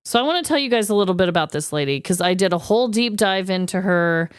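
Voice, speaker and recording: A woman's voice: medium pitch, expressive, quick pace, clean tone, dry recording, clean studio sound. An American woman co-hosting a podcast, chatting casually and informally as she shares trivia. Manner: chatty, informal, quizzing her co-host. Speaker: podcast co-host.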